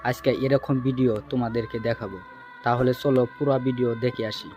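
A man's voice: medium pitch, steady tone, a little fast, darkish tone, muffled recording, faint music behind. A man presenting a scripted introduction to a story video.

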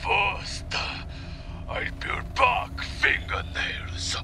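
Very high, gravelly creepy henchman. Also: over music, exaggerated voice. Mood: sadistic relish. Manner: slow, drawn-out, menacing. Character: creepy, sadistic henchman who enjoys torture. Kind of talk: audio drama acting.

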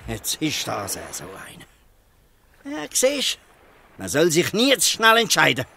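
Blustering old Swiss fisherman, swooping pitch. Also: huge pitch swings, measured pace, creaky, sharp tone, a little hiss or hum, full character voice. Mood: disappointed, reproachful. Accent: Swiss German. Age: older. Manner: blustering, ends taunts with a questioning "hä". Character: gruff old fisherman, scolding, shrewd, softening. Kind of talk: dialect radio play acting.

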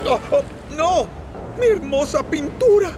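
A man voicing a vain, excitable red steam engine in a Latin American Spanish cartoon dub, dramatic and fussy. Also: very high voice, measured pace, noisy background. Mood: dismayed. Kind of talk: cartoon dubbing. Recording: music bed behind the dialogue.

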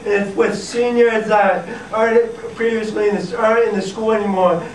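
A man's voice: high voice, fairly even intonation, normal speed. A teenage boy giving a nervous, stumbling class presentation in a school classroom, recorded by a camera across the room. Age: teens. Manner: nervous, laughing, stumbling over words. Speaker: student presenter. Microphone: far camera. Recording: echoey classroom from far off, faint hiss.

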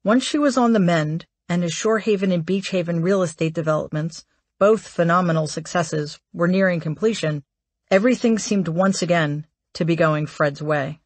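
A woman reading a memoir aloud as an audiobook narrator, in a measured, articulate way. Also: fairly low pitch, no echo.

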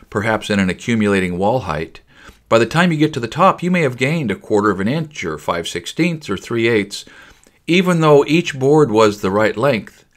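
An older man giving a measured, explanatory voice-over for a house framing lesson. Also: medium pitch. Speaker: framing instructor. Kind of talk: scripted voice-over narration.

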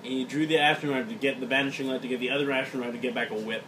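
A man's voice: mid-range voice, steady tone, very fast. Grumbling man, far from a noisy mic. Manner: mumbled, grumbling, swearing. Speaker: card game opponent. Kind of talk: casual chat while playing. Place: indoor room at a game table. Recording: distant, noisy phone capture.

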